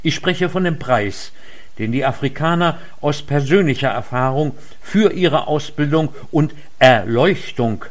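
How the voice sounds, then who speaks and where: A man delivering a formal lecture in a measured, earnest way. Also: a little high, animated. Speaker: lecture speaker. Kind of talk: formal read speech.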